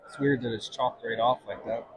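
A middle-aged man with a husky voice chatting casually as he shops for a vlog. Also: mid-range voice, steady tone, a little fast. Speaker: vlogger shopping at a swap meet. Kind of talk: casual chat. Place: large busy indoor swap meet hall.

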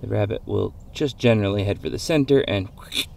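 Gravelly-voiced man joking through a bushcraft vlog. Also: lowish voice, brisk, darkish tone, slight background noise. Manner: jokey, dry humor, chuckles at himself. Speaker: bushcraft vlogger. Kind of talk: casual outdoor vlog narration. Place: outdoors in woodland camp. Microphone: camera mic outdoors.

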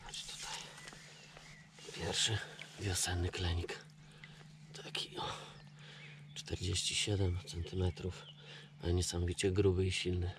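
Man in his thirties, lowish voice, excited muttering while fishing, outdoor camera audio, river and wind noise. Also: unhurried, long pauses. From Poland. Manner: murmurs delighted praise half to himself, coaxing the fish. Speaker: angler vlogger. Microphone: action camera mic.

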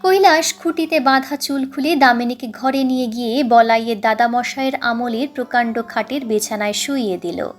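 A woman narrating a literary story in a measured, dramatic scripted style. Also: a little high, faint music behind. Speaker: audiobook narrator.

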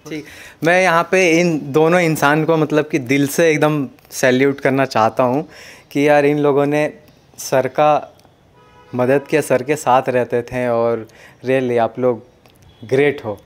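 A man's voice: medium pitch, fairly even intonation, unhurried. A man hosting a house-tour interview indoors, asking eager questions and speaking with reverence about his subject. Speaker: video host.

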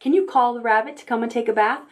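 A woman's voice: on the high side, quick pace. A middle-aged woman hosting a toddler storytime, reading aloud and giving instructions in an exaggerated, sing-song way. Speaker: library storytime host for toddlers. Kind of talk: children's storytime, read-aloud and action rhymes. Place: indoor room with stuffed animals and painted backdrop. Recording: roomy echo, music at times.

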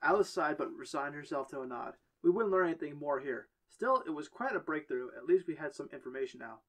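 A man's voice: fairly high pitch, lively intonation, brisk, a bit bright. A man reading a first-person horror story aloud on a podcast, stumbling now and then and correcting himself. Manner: reads on with stumbles and self-corrections.

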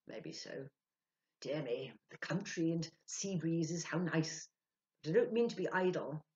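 A woman's voice: sits low, animated, a little slow, a little mellow. A woman in her sixties voicing an earnest, self-important male spirit medium in a storybook reading, emphatic and self-justifying. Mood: pleased. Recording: dull lo-fi home recording.

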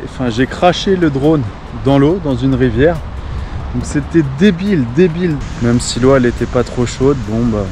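A man's voice: fairly high pitch, background noise. A man, talking casually as a travel vlogger. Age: 20s. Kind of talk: casual travel vlog talk and narration.

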